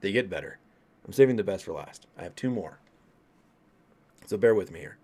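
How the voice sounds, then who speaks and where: A man in his late thirties from Colorado tells his ghost stories straight to camera with a somewhat low voice. He rambles, restarts his sentences and tosses asides to his viewers. The delivery is casual and unscripted. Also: animated, a little fast, a little mellow. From Colorado, USA. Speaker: vlogger. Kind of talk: casual ghost story telling.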